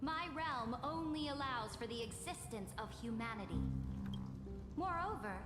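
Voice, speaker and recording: An actress playing a proud, stern emperor in a video game, speaking in a regal, formal and defiant manner. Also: female voice, sits high, animated, normal speed. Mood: stern command. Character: proud, commanding female emperor, fearless and stern. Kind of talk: dramatic video game voice acting. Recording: echoey hall-like reverb under loud music.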